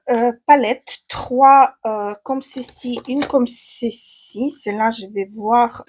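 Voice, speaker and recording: A young woman from Ukraine who speaks French with a Ukrainian accent, chatting through a makeup tutorial with hesitant fillers and restarts. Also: on the high side. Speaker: beauty vlogger.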